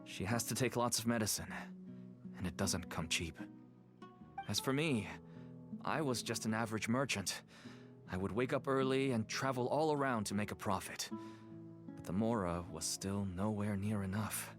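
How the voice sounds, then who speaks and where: Music plays clearly under a somewhat low male voice. It is a tired merchant and loving father from a video game, acted by a man. He talks earnestly and persuasively, measuring out his words. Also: moderate pace, close to an everyday voice. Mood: earnest pleading. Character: weary, pleading merchant and devoted father turned smuggler. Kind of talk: video game dialogue acting. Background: background music.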